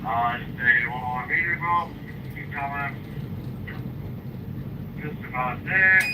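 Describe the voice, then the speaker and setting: A man's voice: mid-range voice, takes it slowly. Man calling directions over a noisy radio. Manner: brief, steady directions. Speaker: crane dogman giving directions. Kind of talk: radio work instructions. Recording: distant two-way radio with loud noise.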